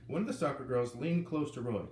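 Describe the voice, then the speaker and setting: A man reading a children's novel aloud, lively and putting on voices for the characters. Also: mid-range voice, a little fast, far from the mic. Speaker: reader. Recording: echoey room with faint ambient noise.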